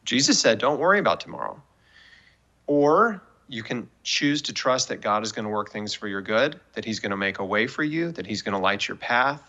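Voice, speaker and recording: Bright-voiced man, dull lo-fi audio. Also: on the low side, expressive, moderate pace. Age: 40s. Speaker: guest author and cancer survivor. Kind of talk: earnest TV interview talk with faith encouragement.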